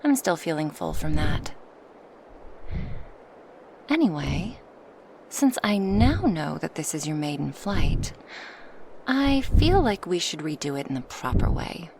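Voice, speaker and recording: A woman's voice: sits low, big pitch swings, takes it slowly, pauses often, a little mellow, slight background noise, exaggerated voice, low-quality recording. A woman voicing a huge, proud dragoness in an ASMR roleplay, speaking formally to her human, teasing yet protective, at times whispery. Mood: playful, reassuring. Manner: slightly formal phrasing, teasing, reassuring. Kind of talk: ASMR roleplay monologue addressed to the listener.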